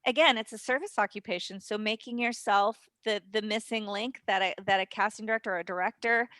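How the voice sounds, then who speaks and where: A woman speaking in an animated, emphatic way as a guest acting teacher, answering casually in an interview. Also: medium pitch, brisk, no room sound.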